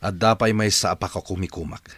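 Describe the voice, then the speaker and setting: Low, husky businessman. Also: fairly even intonation, fast, a bit bright, no echo. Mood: irritated. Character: impatient businessman searching for his lost child. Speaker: radio drama actor. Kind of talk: radio drama acting in Ilocano.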